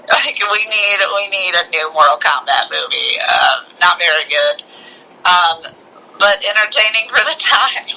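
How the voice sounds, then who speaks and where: A woman co-hosting a podcast, chatting informally about movies with halting starts and bits of mimicked dialogue. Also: lowish voice, sharp tone, poor audio. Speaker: podcast co-host. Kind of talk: casual movie review chat.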